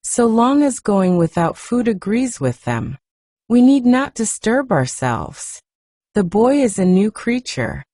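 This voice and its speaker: A woman reader plays a worried family doctor, a formal and measured man from a storybook. The delivery is slow and soft-toned, with a grave manner. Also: medium pitch, big pitch swings, no echo, studio quality, pitched above the performer's own voice, exaggerated voice. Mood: resigned.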